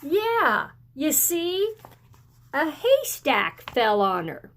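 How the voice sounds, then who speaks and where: A woman voicing a schoolchild who casually recounts a chaotic field trip in a picture book read-aloud, drawn-out and matter-of-fact. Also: sits high, wildly swooping pitch, fairly bright tone, pitched above the performer's own voice, heavily acted.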